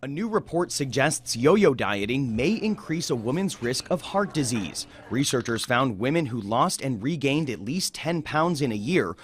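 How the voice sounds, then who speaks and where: Animated American male news correspondent. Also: medium pitch, normal speed, hardly any pauses. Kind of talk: scripted news report.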